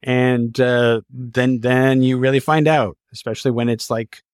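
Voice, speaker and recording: A man hosting a conversational podcast interview, halting as he searches for words. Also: medium pitch, flat delivery, clear, resonant tone, dry recording, studio quality.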